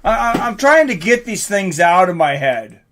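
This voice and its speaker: A man hosting a live fight stream, excitable and informal, mixing commentary with casual chat to viewers. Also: sits high, normal speed, a little hiss or hum. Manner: excitable, informal, swearing, reacting aloud.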